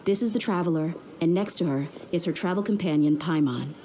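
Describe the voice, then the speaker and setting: A woman's voice: lowish voice, brisk, mellow, a little hiss or hum, close to an everyday voice. An actress playing a tough, candid young female mercenary who speaks in a direct, earnest, polite way, over game music.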